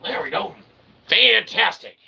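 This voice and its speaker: A man's voice: sits high, big pitch swings, a little slow, vocal fry, pushed and bright, breathy edge. A man hosting a livestream, rambling through a monologue and checking in with "okay?" and "all right". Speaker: livestream host.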